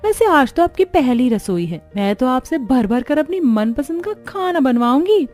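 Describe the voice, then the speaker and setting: Lady doing a very high eager young sis-in-law in a cartoon, bossy about food. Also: very animated, moderate pace, darkish tone, faint music behind. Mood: eager, demanding. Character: eager, demanding young sister-in-law who loves food. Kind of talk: cartoon family drama voice acting.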